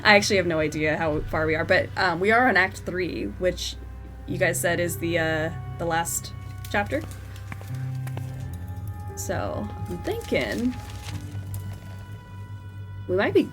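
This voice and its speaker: A woman streaming a horror game, chatting casually with her viewers and laughing often, her nose stuffed up from a cold. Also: medium pitch. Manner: chatty, giggly, reads in-game notes aloud. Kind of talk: casual live-stream commentary.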